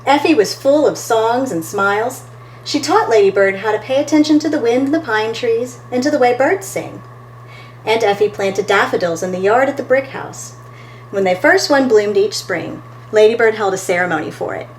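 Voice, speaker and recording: A woman's voice: a little high, normal speed, slight background noise, rough recording. A woman reading a picture book aloud as a storytime host, expressively and talking to her listeners between pages.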